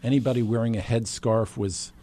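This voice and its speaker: A man hosting a local talk show, friendly and a little halting, often repeating words as he starts a sentence. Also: mid-range voice, steady tone, warm tone. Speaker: host.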